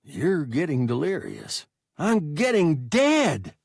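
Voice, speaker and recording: A man voicing an injured young male pilot who is bitter and accusing, in a thin, high whining voice. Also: huge pitch swings, slow, vocal fry, darkish tone, dry recording, studio quality, exaggerated voice. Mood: flat, hopeless. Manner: bitter, shrill and rising to screams.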